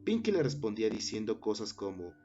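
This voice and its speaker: A man narrating a scary story in a measured way, with dramatic pauses. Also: on the low side, very animated. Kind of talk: scripted horror story narration. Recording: dull lo-fi recording with music underneath.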